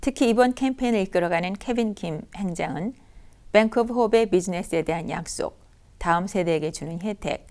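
A woman's voice: medium pitch, fairly quick, a little mellow. A woman news anchor reading scripted news.

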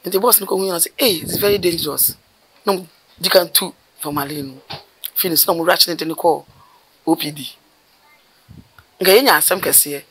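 Fast-talking middle-aged Ghanaian woman. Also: fairly low pitch, poor audio. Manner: animated, gesturing with her hands, rapid bursts of speech. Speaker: mortuary worker. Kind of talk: storytelling interview. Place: outdoors by a building wall.

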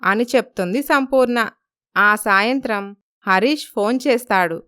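A woman's voice: mid-range voice, a little slow, sharp tone, clean tone, no room sound, studio quality. A woman reading scripted narration for a moral story.

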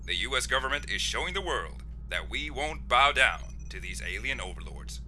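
Very bright, lively news reporter acted by a man. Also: medium pitch, normal speed, faint music behind. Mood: upbeat, admiring. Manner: polished, emphatic newscast delivery. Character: bright, enthusiastic patriotic male TV news reporter.

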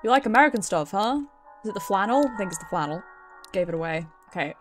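A woman's voice: mid-range voice, expressive, fast, soft background music. A British woman giving casual, playful, sweary live commentary as she streams a video game. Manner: playful, sweary, chatting to viewers.

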